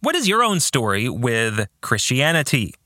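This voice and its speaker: Bright, animated male podcast host. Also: mid-range voice, moderate pace, dry recording, studio quality. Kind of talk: scripted podcast narration with book-club reflection.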